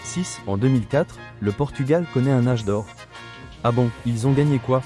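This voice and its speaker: A man's voice: medium pitch, normal speed, mellow, soft background music. A middle-aged man reading a combative, sarcastic rebuttal monologue, lecturing a younger critic. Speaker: video commentator.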